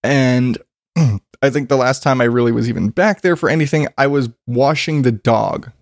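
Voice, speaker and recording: A man's voice: mid-range voice, normal speed, no room sound, studio quality. A man with a congested voice ranting casually about yard work, swearing freely and rambling. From Arizona, US. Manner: profane, rambling, self-deprecating. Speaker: vlogger. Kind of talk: casual rant.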